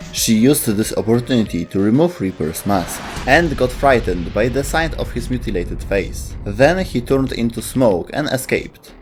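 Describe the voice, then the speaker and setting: A man with a fairly low voice reads scripted story narration as a narrator. Faint music plays behind him. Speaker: narrator of game lore video show.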